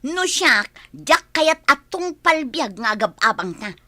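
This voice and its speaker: A woman's voice: high-pitched, big pitch swings, unhurried, bright, forceful tone, no room sound, exaggerated voice. An actress with a Filipino accent playing a thrifty, frustrated young working woman who complains emphatically in a radio drama. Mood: nagging. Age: young adult.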